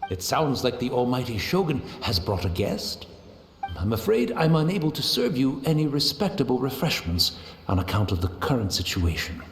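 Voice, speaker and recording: A man's voice: medium pitch, sing-song, moderate pace, echoey room, slight background noise. A man voicing a serene, devoted tea master in a video game, with a courtly, deferential and reflective way of speaking. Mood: humble, courteous.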